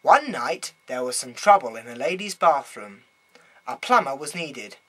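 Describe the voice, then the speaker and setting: A man reads a children's story aloud with plenty of dramatic emphasis. His voice is bright and a little rough-edged, and his pitch moves around a great deal as he goes. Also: very animated, moderate pace. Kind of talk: expressive children's bedtime story reading.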